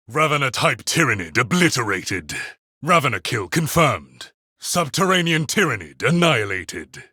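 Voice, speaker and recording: A stern armoured space marine tactician from a video game, with a bright, forceful voice that swings widely in pitch. He reports in clipped, formal military phrases, cold and clinical. The sound is close and dry. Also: breathy edge, dry recording, exaggerated voice. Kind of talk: video game combat voice lines.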